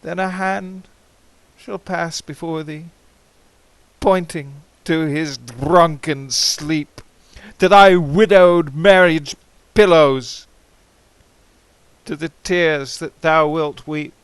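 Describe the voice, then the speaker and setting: A man giving a theatrical, impassioned recitation of poetry, swinging between hushed and ringing delivery. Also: on the high side, slow pace, clear, resonant tone, dry recording, rough recording. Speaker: amateur poetry reader.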